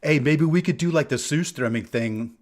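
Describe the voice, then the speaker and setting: A man's voice: on the high side, normal speed, darkish tone. Rambling male podcast co-host. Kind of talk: casual podcast banter.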